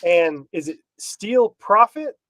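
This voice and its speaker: A man's voice: high voice, sing-song, slow, a bit bright, slight background noise. A man hosting a casual talk show while he has a cold, with a laid-back, loose delivery. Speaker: podcast host. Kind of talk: casual chat.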